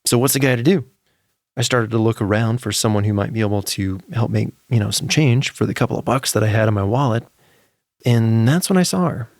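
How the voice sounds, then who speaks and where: A man's voice: fairly low pitch, brisk, no echo, studio quality. A man narrating a scripted story in a casual, anecdotal, conversational way. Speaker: narrator and storyteller of a fiction podcast. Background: music under the voice.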